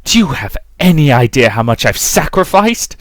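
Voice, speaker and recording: A man's voice: mid-range voice, very animated, moderate pace, no echo, studio quality, voice pushed up, lightly acted. A man voicing an abusive, volatile mother in a visual novel, with theatrical, emphatic delivery. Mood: furious, menacing. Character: abusive mother, volatile, domineering, cloying when remorseful. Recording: music behind the voice.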